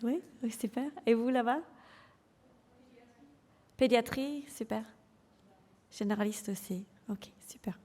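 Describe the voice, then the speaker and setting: A woman from England with an English accent presents to a room of doctors, her mellow voice carrying a long reverb through the lecture hall. She speaks with frequent hesitations and keeps the audience involved with quick questions. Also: on the high side. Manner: engaging, polls the audience, hesitant fillers. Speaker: general practitioner and clinical lecturer presenting. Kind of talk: conference presentation.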